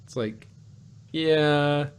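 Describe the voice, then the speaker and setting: A man reviewing in a casual podcast conversation, talking in a halting, animated, argumentative way and often repeating himself. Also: fairly high pitch, slight background noise. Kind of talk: casual review chat about an audio drama.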